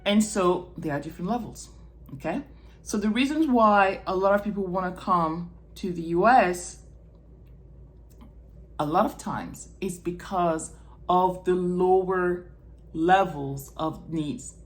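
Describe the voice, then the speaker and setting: A woman's voice: fairly low pitch, slow. A woman from Morocco speaking off the cuff to camera as a video blogger, explaining things and checking in with the listener. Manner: explanatory, checks in with "okay?" and "right?". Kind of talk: unrehearsed personal talk to camera.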